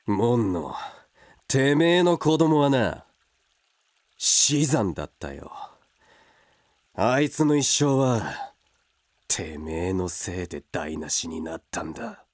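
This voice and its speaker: An actor in a radio drama playing a coarse, hot-tempered older brother who is fiercely protective. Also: male voice, lowish voice, sing-song, slow pace, pauses often, dry recording. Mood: bitter anger. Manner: coarse, blunt rough speech. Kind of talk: Japanese radio drama acting.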